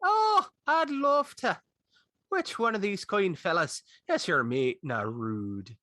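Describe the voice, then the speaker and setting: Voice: mid-range voice, big pitch swings, slow pace, bright, forceful tone, no room sound, clean studio sound. A player in a tabletop game speaking in character, friendly and sing-song.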